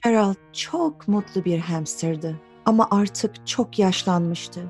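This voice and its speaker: A woman voicing a kind, patient grown-up woman who explains things gently to small children in a cartoon dub. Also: medium pitch, slow, close to an everyday voice. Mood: gentle, regretful. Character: kind, patient female preschool teacher or mother. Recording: studio dub over background music.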